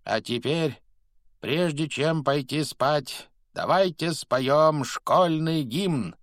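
Man acting a slow, grand old wizard headmaster. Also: fairly low pitch, animated, no room sound, clean studio sound. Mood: jubilant. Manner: ceremonious, deliberate, declamatory. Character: grand elderly male wizard headmaster, solemn yet whimsical. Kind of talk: audiobook character voice.

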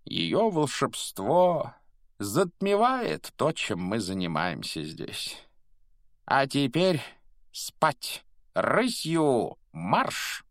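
Man acting a slow, grand old wizard headmaster. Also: on the low side, animated, no room sound, studio quality. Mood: moved, exclaiming. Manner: ceremonious, deliberate, declamatory. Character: grand elderly male wizard headmaster, solemn yet whimsical. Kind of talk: audiobook character voice.

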